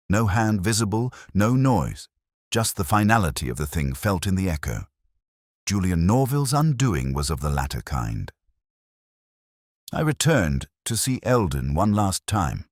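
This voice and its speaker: A man with an extremely deep, cavernous voice tells a noir detective story in the first person. His delivery is measured and brooding, rising and falling expressively through each sentence. The sound is close and completely dry, with no room in it. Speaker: narrator.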